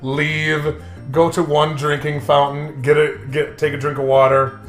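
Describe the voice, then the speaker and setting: A young American man chatting casually as a game co-host, telling rambling stories with sarcastic, dark humour. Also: a little high, little pitch movement, a little slow. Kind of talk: casual joking chat, storytelling. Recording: roomy echo, faint music behind.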